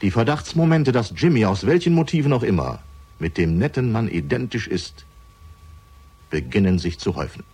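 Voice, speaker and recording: Male announcer, lowish husky voice, very varied intonation, scripted crime-serial narration, deliberate dramatic pauses. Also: big pitch swings, darkish tone.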